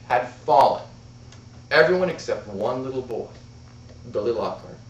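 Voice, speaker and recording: A man performs a tall tale like a contest storyteller, with dramatic pauses and big swings in pitch. His delivery is deliberate and theatrical. The recording is muffled and picked up from a distance in an echoing room. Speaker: amateur storyteller in a speech contest.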